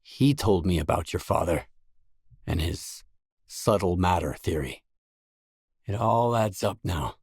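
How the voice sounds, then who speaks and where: A man playing a grim, world-weary lone stalker in a video game, terse and brooding, with a husky voice. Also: deep voice, expressive, measured pace, long pauses, a little mellow, no room sound, studio quality, exaggerated voice. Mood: grave. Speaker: game character.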